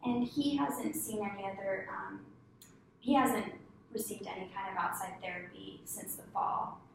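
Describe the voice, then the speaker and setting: A woman conducting a clinical case-history interview, asking polite, halting questions with many fillers. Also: medium pitch, creaky. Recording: echoey room, distant mic, faint noise.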